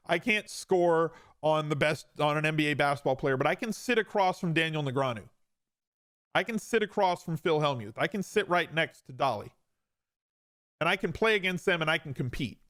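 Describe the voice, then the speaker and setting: A man's voice: fairly high pitch, normal speed, fairly bright tone, no echo, studio quality. A man in his forties hosting a podcast, telling his own story in a casual, conversational way with emphatic repeats and some self-deprecation. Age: 40s. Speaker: podcast host.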